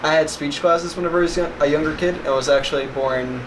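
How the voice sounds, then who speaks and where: A man's voice: fairly high pitch, fast. Rambling young man, noisy room. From West Virginia. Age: young adult. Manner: rambling, filler-heavy, "like" often. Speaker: vlog co-host. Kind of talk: casual chat. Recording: noisy home recording.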